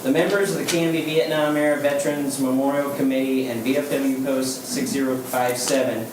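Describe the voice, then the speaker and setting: A man, a veterans memorial volunteer, reading a thank-you letter aloud at a city council meeting in a measured, unhurried way. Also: mid-range voice. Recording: echoey chamber, distant mic, background noise.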